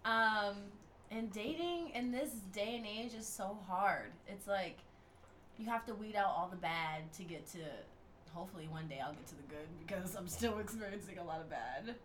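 A woman's voice: mid-range voice, normal speed, a bit bright, slight background noise. Woman, 20s, casual podcast guest, exasperated chatter. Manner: chatty, says "like" a lot, exasperated. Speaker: co-host.

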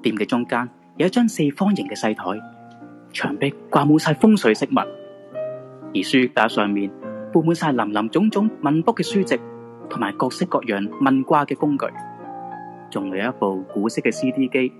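A man's voice: medium pitch, sing-song, normal speed. A male radio drama narrator speaks Hong Kong Cantonese in a medium register. He tells the scripted story dramatically over faint music.

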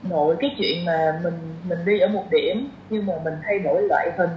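A woman's voice: lowish voice, normal speed. A woman with a southern Vietnamese accent talking casually as a podcast guest, explaining things in a chatty way with everyday examples. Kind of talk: casual conversational interview. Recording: echoey room, muffled, faint noise.

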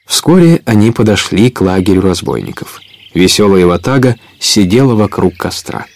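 A man narrating a Russian audio drama as the storyteller of an adventure tale, in a measured manner. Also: on the low side, expressive, darkish tone. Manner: measured, clearly told storytelling.

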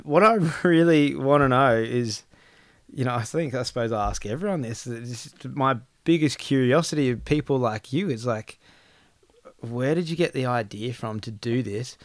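A man's voice: mid-range voice, normal speed, dry recording. A man with an Australian accent hosting a podcast, talking in a friendly, upbeat, chatty way. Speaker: podcast host. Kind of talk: casual interview.

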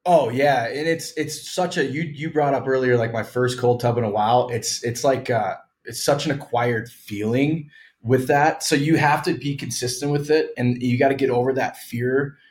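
A man's voice: mid-range voice, normal speed. A man in his thirties, a former pro hockey player, explaining things casually as a podcast guest and hedging his claims. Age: 30s. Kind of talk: casual interview chat.

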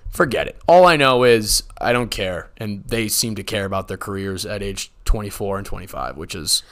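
A man in his twenties with a raspy voice, co-hosting a podcast in a loose, rambling way, often laughing. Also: lowish voice, lively intonation, moderate pace, a bit bright. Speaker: podcast co-host. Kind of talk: casual chat.

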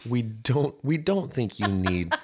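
A man's voice: mid-range voice, very animated, takes it slowly, husky. A man co-hosting a casual podcast, joking playfully and slipping into silly voices. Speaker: podcast co-host. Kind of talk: casual chat.

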